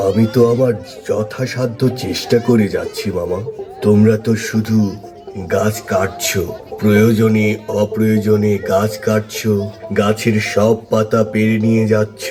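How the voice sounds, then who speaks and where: A man's voice: on the low side, little pitch movement, measured pace, natural voice. A man voicing a long-suffering talking tree in a children's puppet show, plaintive and aggrieved. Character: long-suffering talking tree, complaining about careless humans. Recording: music behind the voice.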